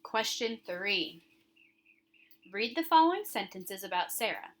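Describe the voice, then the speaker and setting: A woman's voice: mid-range voice, very animated, measured pace, a bit bright. A woman with a mid-range voice teaches young pupils. She reads questions and answer options aloud, stressing the important words. She talks straight to the children in an instructive way, guiding them through each item. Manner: instructive, addresses children directly, emphasizes key words. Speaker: elementary school teacher.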